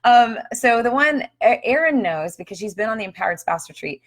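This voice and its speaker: A woman hosting a casual live video, talking about her book in a chatty, upbeat way with frequent ums, her voice slightly hoarse. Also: on the high side, animated, brisk. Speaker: author and marriage counselor hosting a live video. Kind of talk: casual live-stream chat. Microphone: phone or webcam on a live stream.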